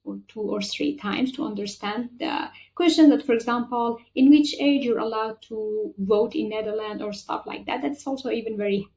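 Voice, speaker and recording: A woman's voice: fairly high pitch, normal speed, hardly any pauses, dark tone, poor audio. A young woman with a Persian accent, a native Farsi speaker, hosting a chatty, practical tutorial voice-over. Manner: chatty and practical, with frequent fillers. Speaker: channel host.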